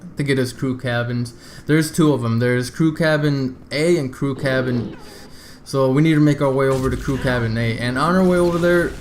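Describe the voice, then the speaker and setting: Casual male game guide, noisy background. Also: mid-range voice. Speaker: video game walkthrough narrator. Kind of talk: casual game walkthrough commentary.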